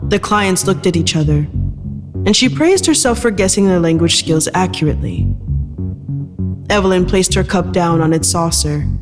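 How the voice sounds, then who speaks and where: High-voiced male drama narrator. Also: expressive, moderate pace. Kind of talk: scripted dramatic audio fiction narration. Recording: long reverb, guitar music behind.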